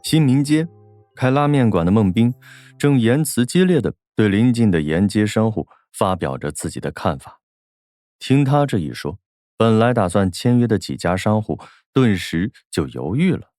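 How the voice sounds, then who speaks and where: A man's voice: on the low side, sing-song, moderate pace, darkish tone, no room sound, clean studio sound, faint music behind. A man reading a scripted novel as an audiobook narrator.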